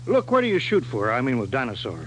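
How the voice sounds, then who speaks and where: An actor playing a brash, impatient amateur hunter who questions bluntly in a radio drama. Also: male voice, mid-range voice, wildly swooping pitch, fast talker, a bit bright, slight background noise, rough recording.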